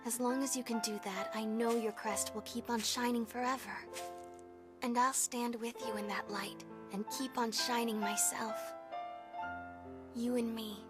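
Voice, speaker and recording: A woman with an American accent voicing an earnest, gentle young crewmate in a video game cutscene, speaking softly and sincerely. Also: on the high side, fairly even intonation, a little slow, natural voice. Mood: devoted, encouraging. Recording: music bed behind the voice.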